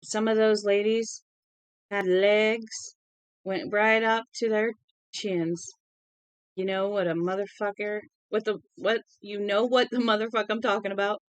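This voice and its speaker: A woman's voice: mid-range voice, steady tone, normal speed, no echo, natural voice. A woman voicing a small, drunk, volatile young Southern man in a novel, crude, profane and threatening. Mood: crude bragging.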